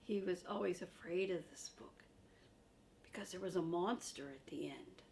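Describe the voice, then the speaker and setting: A woman's voice: fairly low pitch, normal speed, vocal fry, a little mellow, slight background noise. An older woman, a grandmother, casually introducing and reading a picture book to children. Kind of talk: casual storytime read-aloud.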